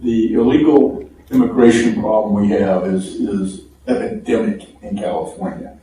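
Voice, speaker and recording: American guy hosting a political interview, soft mellow voice, super echoey room. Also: fairly low pitch, very animated, moderate pace. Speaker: radio show host, interviewer. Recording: echoey room, distant mic, faint noise.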